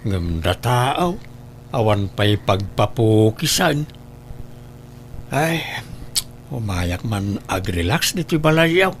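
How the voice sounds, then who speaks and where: Male actor, older father, Ilocano accent, nagging, grumbling, rather high voice, comedy drama. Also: slight background noise. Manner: complaining, scolding.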